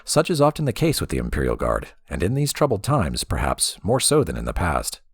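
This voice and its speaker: A man reading a fantasy book aloud as expressive storybook narration over music. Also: low-pitched, brisk, slightly rough, dry recording. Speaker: let's play host reading in-game books aloud.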